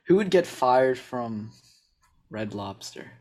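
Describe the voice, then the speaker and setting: A man's voice: medium pitch, very animated, slow pace. Man laughing as he guesses aloud. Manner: thinks out loud, laughs often. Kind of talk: casual chat, guessing aloud.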